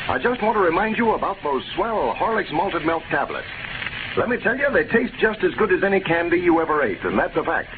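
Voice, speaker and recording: A scratchy, narrow-band 1930s radio broadcast with heavy noise. A male announcer reads scripted copy in a fairly high voice with very lively, swinging intonation. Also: brisk.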